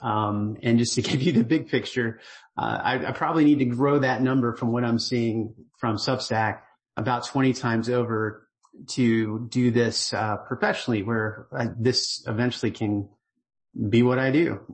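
A middle-aged man, the writer of a newsletter, gives a casual voicemail-style update, relaxed and earnest, rambling with fillers and restarts. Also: medium pitch, normal speed, rough recording. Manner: grateful and earnest.

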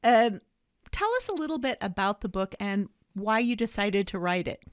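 A woman's voice: mid-range voice, animated, normal speed, clean tone, no echo, clean studio sound. A woman host introducing a guest and asking questions, rephrasing them as she goes. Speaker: interviewer host.

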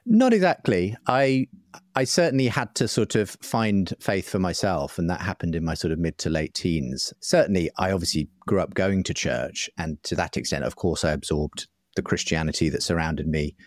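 A man's voice: sits low, lively intonation, normal speed, no echo, studio quality. A British man answering interview questions in a thoughtful, articulate, conversational way, often hedging as he goes. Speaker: guest, writer and broadcaster.

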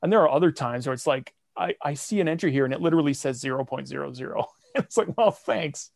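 A man's voice: on the high side, fast, gravelly, no room sound. A man guesting on a podcast, talking casually and chattily about making music at home. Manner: relaxed and chatty, with frequent "like" and light laughs. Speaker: guest, comedy fiction podcaster and songwriter. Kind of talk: casual interview chat.

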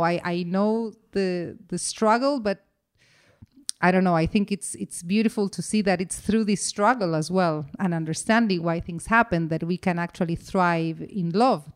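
Earnest woman guest with a lowish voice. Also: clean tone. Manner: earnest, repeats "to me", restarts often. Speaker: interview guest. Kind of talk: earnest conversational interview.

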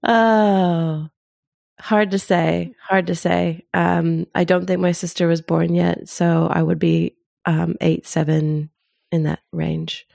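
A middle-aged woman telling personal stories in casual conversation as an interview guest, with a thoughtful, expressive and informal way of talking. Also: lowish voice, slow pace, gravelly, dry recording, clean studio sound. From born in Zimbabwe.

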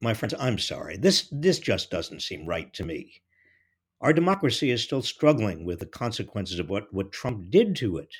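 A man with a husky voice delivering scripted political commentary straight to listeners, in emphatic, deliberate phrasing. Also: fairly low pitch, very animated.

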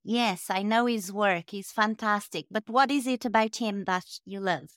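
A woman hosting a podcast interview, asking her guest questions with curiosity in an unhurried, friendly way. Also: mid-range voice, dry recording, studio quality. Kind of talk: casual interview conversation.

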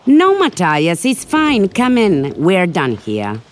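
A woman's voice: lowish voice, wildly swooping pitch, slow pace, full character voice. A woman playing a defiant, sardonic scientist in an audio drama. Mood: wry, brushing off. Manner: sardonic, challenging. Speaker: moth researcher.

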